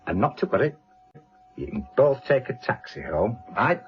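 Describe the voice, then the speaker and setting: An actor playing a wry, fatherly British police inspector with dry, steady authority in a radio drama. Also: mid-range voice, normal speed, lo-fi sound, soft background music, lightly acted. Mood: wry, kindly.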